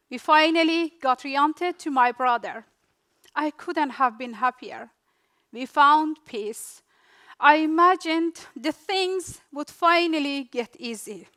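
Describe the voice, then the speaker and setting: A young woman from Afghanistan with an Afghan accent, whose first language is Dari, giving a rehearsed personal storytelling speech in short, deliberate phrases. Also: high voice, fairly bright tone. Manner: slow, deliberate delivery in short dramatic phrases with pauses. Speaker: refugee speaker.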